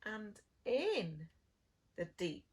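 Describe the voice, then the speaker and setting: A woman reading a storybook to young children as a preschool storyteller, with dramatic, drawn-out phrasing and hushed moments. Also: medium pitch, sing-song, breathy edge.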